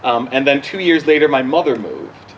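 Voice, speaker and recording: A man in his forties from Barbados, a bishop informally answering questions outdoors in a halting, conversational way that often restarts sentences. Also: mid-range voice, lively intonation, lo-fi sound, slight background noise. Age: 40s. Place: outdoors at a church gathering.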